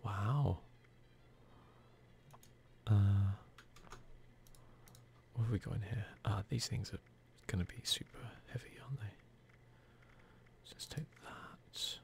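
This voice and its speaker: Casting: male gaming host for ASMR playthrough, hushed whisper with heavy vocal fry, unhurried idle musing. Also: on the low side. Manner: relaxed, idle musing to himself and viewers. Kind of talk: whispered ASMR gameplay commentary. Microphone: close microphone.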